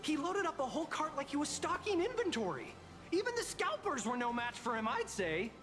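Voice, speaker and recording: A bright, high young shopkeeper in a game, pushing deals and chatting away about other customers. Her pitch rises and falls a lot, with soft music behind her. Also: sing-song, brisk. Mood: gossipy. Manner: salesy, gossiping. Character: chatty young woman shop clerk, gossipy. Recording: game audio over faint music.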